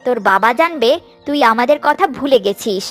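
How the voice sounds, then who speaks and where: High-voiced scheming cruel stepmother. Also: animated, brisk, fairly bright tone, soft background music. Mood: gloating, cold. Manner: commanding, scolding. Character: greedy scheming cruel stepmother, bossy and gloating. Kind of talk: animated fairy-tale cartoon acting.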